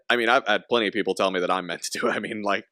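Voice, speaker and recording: A man's voice: fairly low pitch, fairly even intonation, very fast, bright, forceful tone, no room sound. A young man hosting a casual podcast, rambling with frequent laughs and restarts. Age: young adult. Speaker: podcast host.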